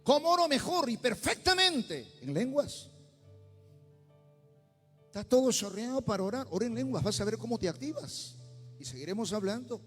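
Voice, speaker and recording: High-voiced Peruvian pastor preaching in a hall. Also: male voice, sing-song, normal speed, long pauses, fairly bright tone, breathy edge, faint music behind. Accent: Peruvian Spanish. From Peru. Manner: preaching with rising intensity, bursts into tongues. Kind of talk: sermon. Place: church sanctuary.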